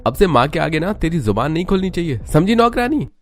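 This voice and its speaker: A man's voice: fairly high pitch, sing-song, fairly quick, soft background music, voice pushed up. A man voicing a bullying young stepbrother in a cartoon story, threatening and aggressive. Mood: angry. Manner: sharp, threatening.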